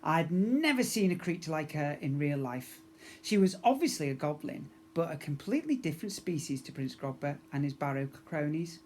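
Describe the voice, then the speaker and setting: A man's voice: a little high, lively intonation, normal speed, a little mellow, slight background noise. A man narrating a children's story expressively, as a teacher reading to young pupils.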